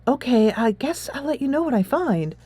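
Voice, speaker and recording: A woman voicing a down-to-earth, skeptical high school girl from a game in a casual, questioning way. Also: sing-song, normal speed, mellow, breathy edge, no echo, exaggerated voice. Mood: doubtful.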